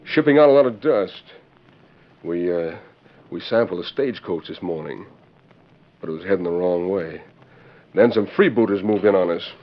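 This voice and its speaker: An actor playing a cocksure, menacing outlaw leader with folksy speech, in muffled 1940s radio western style. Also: male voice, sits low, expressive, moderate pace. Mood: smug.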